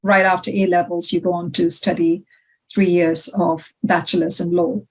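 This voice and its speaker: A woman's voice: lowish voice, little pitch movement, moderate pace, darkish tone, husky, poor audio. A middle-aged woman from Malaysia, a podcast guest telling her story in a reflective, conversational way with frequent "you know" fillers. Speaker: podcast guest, head of legal.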